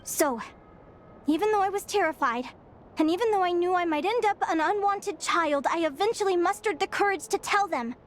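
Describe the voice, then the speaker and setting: A woman voicing an earnest young Fae girl in a game cutscene, talking in a soft, reflective, confiding way. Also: very high voice, moderate pace, slight background noise.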